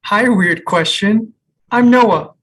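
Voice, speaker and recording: Casting: actress as young cafe regular, husky, unhurried, friendly and low-key, comedy read. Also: female voice, fairly bright tone, rough recording. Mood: relaxed, friendly. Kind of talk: comedy table read acting.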